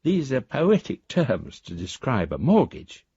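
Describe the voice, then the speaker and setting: A man's voice: mid-range voice, huge pitch swings, a little slow, vocal fry, dark tone, breathy edge, dry recording, lo-fi sound, full character voice. An actor playing an eccentric, grandiose amateur philosopher, reading his letter in pompous, florid phrases for a radio drama. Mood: exalted, self-important.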